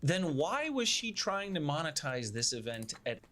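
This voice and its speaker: A man's voice: a little high, sing-song, normal speed, a bit bright, slight background noise. A man narrating a scripted video as a YouTuber, with a sarcastic, theatrical delivery.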